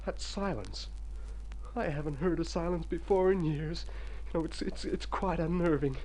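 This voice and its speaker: A man's voice: a little high, moderate pace, a little mellow, close to an everyday voice, poor audio. An actor playing a flustered, wisecracking city man with a nervous stammer in a radio comedy. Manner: flustered, wry. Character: Tom Berryman, jittery wisecracking city man seeking a rest cure. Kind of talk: mid-century radio comedy acting.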